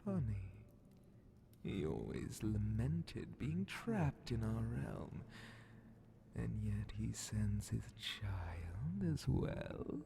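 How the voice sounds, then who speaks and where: A man voicing a sly, menacing demon Grand Vizier of hell in a breathy, theatrical half-whisper for an ASMR horror fantasy roleplay. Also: on the low side, mellow, a little hiss or hum, full character voice. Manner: slow, theatrical, drawn-out, mockingly polite.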